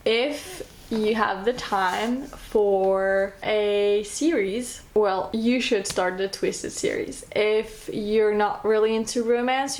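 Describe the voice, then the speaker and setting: Woman, French accent, native French speaker, chatty book vlogger, enthusiastic, middling pitch. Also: a little mellow. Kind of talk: casual book recommendations, reading blurbs aloud.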